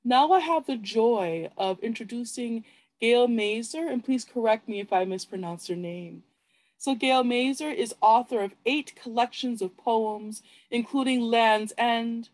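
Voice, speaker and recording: A woman hosting a poetry event, giving announcements and introductions in a polite, formal, measured way. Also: fairly high pitch, a little mellow, poor audio. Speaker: host of poetry open mic.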